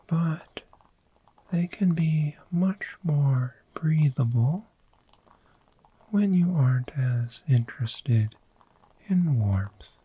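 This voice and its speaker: Someone voicing a very slow, whispering gentle dragon. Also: mid-range voice, expressive, exaggerated voice, low-quality recording. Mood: soothing, informative. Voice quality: soft, breathy whisper. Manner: slow, soothing, unhurried, deliberate pauses. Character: gentle friendly dragon in human form, proud of cozy hoard. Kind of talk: whispered ASMR sleep roleplay.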